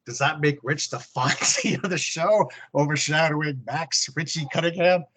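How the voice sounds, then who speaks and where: A man's voice: a little high, normal speed, fairly bright tone. A man co-hosting a podcast, chatting casually and reading listener mail aloud. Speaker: podcast co-host.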